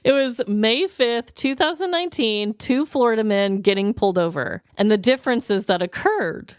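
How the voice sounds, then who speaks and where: Animated, high-pitched American woman. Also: normal speed, smooth, dry recording, clean studio sound. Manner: animated, informal storytelling with emphatic exaggeration. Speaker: podcast co-host. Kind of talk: casual comedic true-crime chat.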